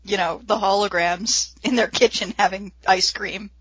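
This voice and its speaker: A woman's voice: mid-range voice, fairly even intonation, moderate pace, a bit bright, husky, no echo, lo-fi sound. Emphatic woman, dry close sound. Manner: emphatic, playful hyperbole. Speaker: podcast host. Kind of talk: casual comic book discussion.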